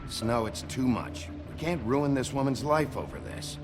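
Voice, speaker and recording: An actor playing a gruff, weary sheriff in a video game, blunt and measured but with some sympathy. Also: male voice, mid-range voice, lively intonation, over music, natural voice. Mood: firm, weary.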